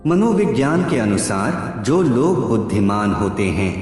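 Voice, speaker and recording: A man reading scripted narration in measured, deliberate short phrases. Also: mid-range voice, very animated, darkish tone. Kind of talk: scripted narration of psychology facts. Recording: heavy echo, long reverb, background music. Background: music.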